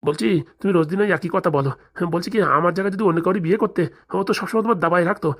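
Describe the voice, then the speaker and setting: A woman plays a henpecked older cartoon husband, fairly low-voiced and extremely quick of speech. He is meek and servile, placating timidly and muttering his complaints. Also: close to an everyday voice. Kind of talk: comic cartoon voice acting.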